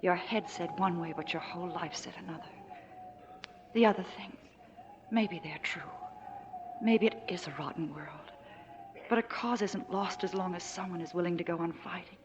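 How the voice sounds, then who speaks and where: An actress playing an earnest, idealistic woman in 1940s radio drama, hushed and persuasive. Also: lowish voice, moderate pace, lightly acted. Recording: noisy, muffled 1940s radio recording.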